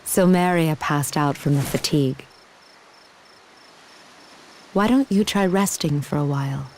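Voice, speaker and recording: An actress playing a composed, solitary warrior woman with a quiet kindness in a video game. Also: low-pitched, takes it slowly, long pauses, a little hiss or hum. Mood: calm, caring.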